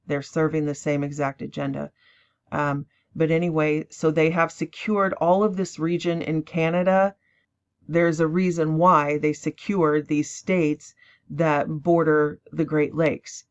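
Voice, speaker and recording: A tired woman rambling emphatically as she introduces a clip, breaking into quick mocking asides. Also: low-pitched, level tone. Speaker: online prophecy channel host. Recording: dull lo-fi recording.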